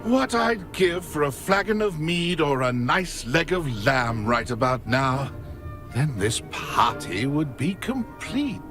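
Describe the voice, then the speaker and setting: An actor playing a haughty, mocking ghost knight in a video game, with a British accent and a pompous, theatrical delivery. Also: male voice, on the high side, lively intonation, slow, over music. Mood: jovial, surprised. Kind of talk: video game character acting.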